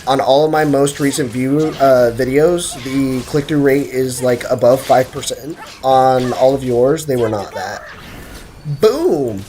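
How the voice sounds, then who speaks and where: A young man streaming a video game, giving excitable, joking commentary and answering his chat. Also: medium pitch, unhurried, slight background noise. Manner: excitable, joking, trash-talking. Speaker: gaming streamer. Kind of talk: live game commentary, chatting with viewers.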